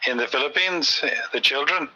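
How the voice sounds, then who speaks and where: A man in his forties from Ireland with an Irish accent, chatting casually and patiently slowing down to explain grammar. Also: a little high, pushed and bright, rough recording. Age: 40s. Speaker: conversation partner. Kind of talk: casual chat.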